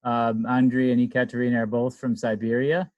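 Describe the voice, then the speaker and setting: A man's voice: mid-range voice, flat delivery, brisk, a little mellow. A man hosting a casual video call. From Minnesota. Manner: friendly, joking, asks each person in turn.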